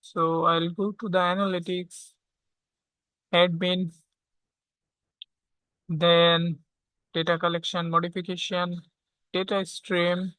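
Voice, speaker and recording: A tutorial instructor walking through software step by step, often checking in with a short questioning tag. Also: medium pitch, dry recording, low-quality recording. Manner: checks understanding with frequent "okay?".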